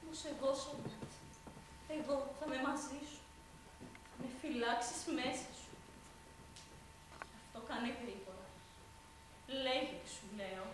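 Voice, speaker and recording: An actress playing a bitter young maid full of hatred and grand dreams, in a theatrical stage delivery. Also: female voice, a little high, long pauses, background noise, lightly acted. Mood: grim, hushed. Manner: theatrical stage delivery, swings between mocking laughter and hushed intensity. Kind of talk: stage play performance. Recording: distant echoey stage recording.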